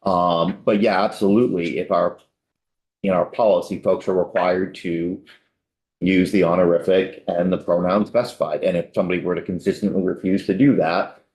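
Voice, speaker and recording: A man's voice: low voice, steady tone, normal speed, a little mellow. A man testifying before a committee in a conversational, reassuring way. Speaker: corrections official testifying. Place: legislative hearing room. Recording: echoey hearing room.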